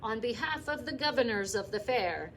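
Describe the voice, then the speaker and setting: A woman voicing a pompous male announcer at a county fair, speaking formally and grandly over a loudspeaker. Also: fairly high pitch, lively intonation, normal speed, a little hiss or hum, voice pushed up. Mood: grandiose.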